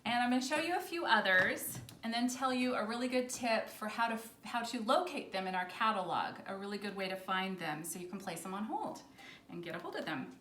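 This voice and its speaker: A woman's voice: mid-range voice, expressive, brisk, a bit bright. A woman librarian casually presenting children's books in a chatty, informal way, explaining things as she goes. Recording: roomy echo with faint background noise.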